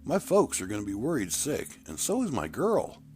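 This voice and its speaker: A man playing an anxious, polite schoolboy with swooping pitch. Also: huge pitch swings, moderate pace, darkish tone, slight background noise. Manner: deferential, earnest.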